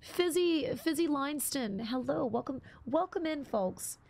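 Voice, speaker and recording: A woman's voice: sits high, animated, unhurried, creaky, a little mellow. A young woman streaming a game, chatting casually, greeting viewers and reading chat aloud with many ums. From half English, half Bruneian. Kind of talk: casual live-stream chat while gaming.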